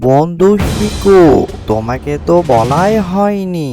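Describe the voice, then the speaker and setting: A man voicing a nervous, cautious deer in a cartoon, with slow, drawn-out calls. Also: a little high, lively intonation. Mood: excited. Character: nervous, cautious male deer hushing his friend. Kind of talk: cartoon dialogue. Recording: music behind the voice.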